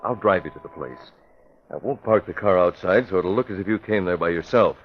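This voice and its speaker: A deep, calm publisher. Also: male voice, brisk. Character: calm, composed male newspaper publisher. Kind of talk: 1940s radio acting. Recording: dull old radio broadcast with faint hiss.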